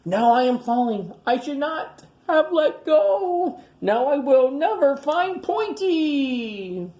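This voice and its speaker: A woman's voice: high voice, big pitch swings, slow, warm tone, voice pushed up, heavily acted. Woman reading as an earnest little dinosaur. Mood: worried. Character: tiny earnest young male dinosaur.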